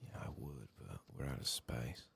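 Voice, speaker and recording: Really deep gravelly guy doing asmr gaming, soft and whispery, musing to himself. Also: expressive, normal speed, slight background noise. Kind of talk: soft-spoken, whispered ASMR game commentary.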